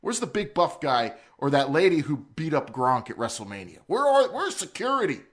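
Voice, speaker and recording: A man's voice: on the high side, sing-song, brisk. A man hosting a casual wrestling talk podcast, joking and rambling with frequent restarts. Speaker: podcast host.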